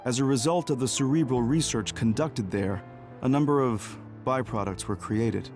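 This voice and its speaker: A man playing a composed, guarded senior officer in a video game, talking in a measured, explanatory way. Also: medium pitch, darkish tone, faint music behind, close to an everyday voice. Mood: grave. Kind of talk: English video game voice acting.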